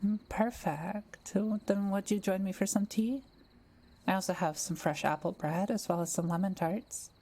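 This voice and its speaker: A woman playing a kind, welcoming young witch who lives alone in the forest, speaking gently and playfully to the listener in a roleplay audio drama. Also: mid-range voice, steady tone, slightly rough. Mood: cozy, friendly. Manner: gentle, unhurried, softly playful.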